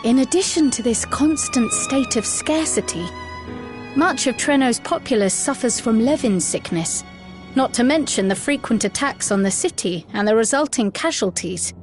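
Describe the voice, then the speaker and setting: An actress playing a scholarly young woman in a fantasy game, speaking in a measured, formal, archaic way with composed earnestness. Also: a little high, animated, darkish tone, soft background music, natural voice. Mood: earnest, admiring. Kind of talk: fantasy video game voice acting.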